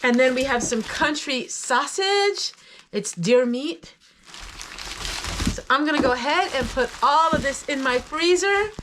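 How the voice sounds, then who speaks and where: A woman's voice: a little high, background noise. An older woman with an American accent, hosting a homesteading vlog and chatting to her viewers.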